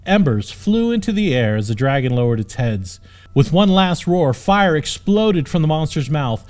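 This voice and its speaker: A man's voice: on the high side, very animated, moderate pace, darkish tone. A man narrating a scripted comic fantasy audiobook in a dramatic storytelling manner.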